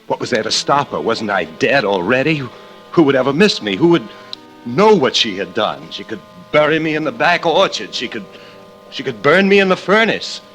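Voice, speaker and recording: An actor playing a desperate killer in hiding who fears his wife, in halting, theatrical 1940s radio suspense style. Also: medium pitch, sing-song, fairly bright tone, rough recording. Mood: haunted, frantic. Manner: theatrical, halting, repeats words in dread. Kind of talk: 1940s radio drama acting, first-person suspense narration. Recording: old radio recording with organ music.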